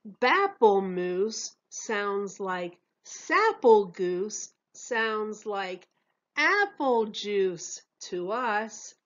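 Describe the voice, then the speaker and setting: A woman voicing young twins in a storybook, sounding out rhymes in very drawn-out words. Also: medium pitch, sing-song, fairly bright tone, lo-fi sound, full character voice. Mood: puzzling, pleased. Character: young twins, boy and girl, puzzling out rhymes.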